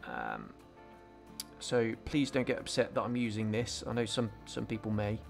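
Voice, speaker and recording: A man's voice: fairly low pitch, big pitch swings, normal speed, creaky, faint music behind. A man hosting a scale modelling tutorial, talking casually through each step with frequent ums and restarts. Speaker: scale modelling channel host. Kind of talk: relaxed hobby tutorial voice-over.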